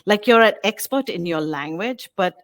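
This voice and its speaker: A middle-aged woman with British-learned English telling stories as an interview guest, animated and full of quoted asides. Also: mid-range voice, moderate pace, no room sound. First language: English learned in British form, also speaks Hindi. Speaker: guest, bilingual book creator. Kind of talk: casual interview chat.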